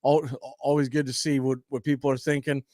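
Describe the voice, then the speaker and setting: A man's voice: medium pitch, flat delivery, brisk, dark tone, no echo, studio quality. A man hosting a sports stream, giving conversational, folksy baseball pregame commentary. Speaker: sports radio host.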